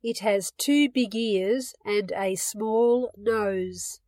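A woman reading English listening-test items with careful, deliberate enunciation, recorded dry and close. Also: medium pitch, a little mellow, no room sound. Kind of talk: scripted slow test reading.

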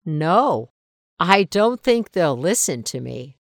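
A woman voicing an unhappy schoolgirl in a scripted dialogue for a listening test, reading carefully and clearly. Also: low voice, very animated, slow pace, dry recording, clean studio sound, heavily acted. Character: schoolgirl complaining about busy weekends. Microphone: close studio mic.

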